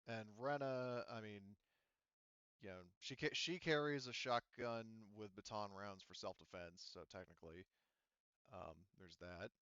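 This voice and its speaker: A man acting as game master in a casual, unscripted tabletop session, thinking aloud in a halting, casually profane way. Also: lowish voice, fairly bright tone, clear, resonant tone, dry recording, lo-fi sound.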